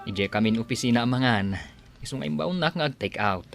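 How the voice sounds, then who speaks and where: A man plays a gossipy, obliging office underling with a Filipino accent. His mid-pitched voice swings widely in radio comedy style. Faint music runs behind him. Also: lively intonation, a little fast, vocal fry. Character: Danilo, chatty, teasing, obliging male office underling. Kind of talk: Ilocano radio comedy-drama acting.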